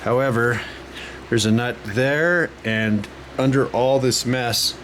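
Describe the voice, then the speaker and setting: Guy doing a casual repair walkthrough, thinking out loud, medium voice, noisy room hum behind him. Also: mid-range voice, slow pace. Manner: thinking aloud while working. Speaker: hobbyist radio repairer. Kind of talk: casual hands-on repair narration.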